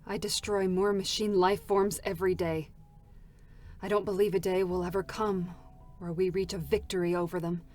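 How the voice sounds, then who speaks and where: An actress playing a bitter, vengeful combat android in an intense, defiant dramatic reading of a game character. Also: female voice, on the low side, level tone, moderate pace, darkish tone, lightly acted. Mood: grim, wounded. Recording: music behind the voice. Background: music.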